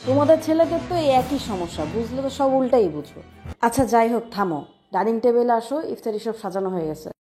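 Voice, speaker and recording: Actor as a scolding Bangladeshi mother, high swooping pitch. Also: animated, quick pace, dark tone, soft background music, natural voice. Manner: scolding, emphatic. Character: sharp-tongued scolding mother in a comic family cartoon. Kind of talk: comic Bangla cartoon voice acting.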